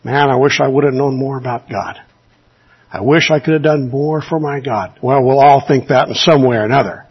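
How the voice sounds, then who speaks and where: A man's voice: medium pitch, normal speed, husky, highs cut off. A man preaching a sermon in an earnest, exhorting way, asking his listeners rhetorical questions.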